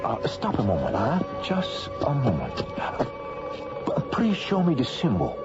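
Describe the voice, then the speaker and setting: An actor playing an inquisitive adventurer in a radio drama, urgent and halting as he investigates. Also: mid-range voice, huge pitch swings. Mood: intrigued. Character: inquisitive excited adventurer investigating ancient symbols. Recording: muffled old radio drama with loud music.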